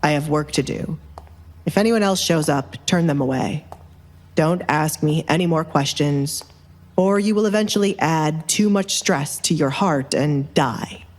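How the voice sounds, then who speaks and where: A woman voicing a stern, commanding young duke with a clipped, deadpan manner in a dramatized audio romance. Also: low-pitched, a little slow, pitched below the performer's own voice. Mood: cold, amused. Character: stern, commanding young duke, coolly teasing his butler.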